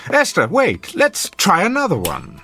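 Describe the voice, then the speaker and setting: An actor playing a suave, manipulative middle-aged husband, urbane and theatrical, in an old-time radio drama. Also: male voice, high voice, huge pitch swings, measured pace, over music, full character voice. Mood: scheming. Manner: pompous, theatrical, urbane, goading.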